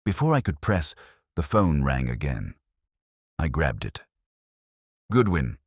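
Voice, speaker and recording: An extremely low-voiced man narrates a detective audiobook in a wry, hardboiled style. His voice is husky and moves expressively in pitch. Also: big pitch swings, unhurried, dry recording, studio quality. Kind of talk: scripted first-person hardboiled detective narration.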